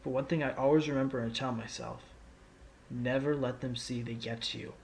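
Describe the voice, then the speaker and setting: A man's voice: medium pitch, fairly even intonation, fairly quick, creaky, a little hiss or hum, natural voice, rough recording. A man playing a sly, laid-back fox, an ex-con turned rookie lawyer, in an amateur audio drama, with a wry, casual, teasing delivery. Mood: reflective, confident. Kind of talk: amateur audio drama with first-person narration. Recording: music bed under the voice.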